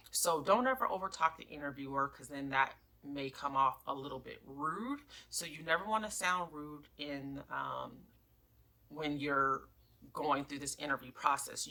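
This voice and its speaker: Lady career coach doing advice tips, deep voice, bright punchy sound, leans hard on key phrases. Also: vocal fry. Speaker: human resources career coach, presenter. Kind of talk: instructional advice talk, numbered tips.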